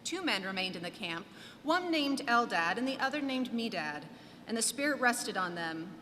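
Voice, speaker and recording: Woman, bright projected voice, expressive Bible narration, echoing hall, slight background noise. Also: a little high, normal speed. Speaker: scripture reader, narrator. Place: large church indoors. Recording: reverberant church, audible noise.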